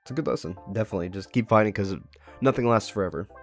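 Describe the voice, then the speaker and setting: A man's voice: fairly low pitch, racing, no room sound. A man hosting a casual interview, asking questions in an inquisitive, encouraging way. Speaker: interviewer, host. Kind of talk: casual interview about a web horror series. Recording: music playing behind. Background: music.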